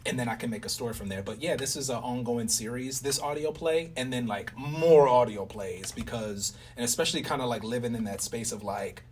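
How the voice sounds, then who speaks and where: A man in a casual interview chat, speaking as the host in a halting, rambling way with many repeated words. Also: medium pitch. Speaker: playwright and host interviewing a collaborator. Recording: distant mic, faint background noise.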